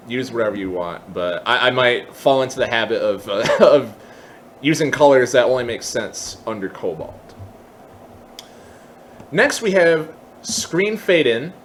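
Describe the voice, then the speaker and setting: A man with an average-pitched voice hosts a screen-share tutorial. He explains things informally, piece by piece, often thinking aloud. A noticeable bed of background noise sits under the recording. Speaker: tutorial host. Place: indoors at a computer, voice-over for screen capture.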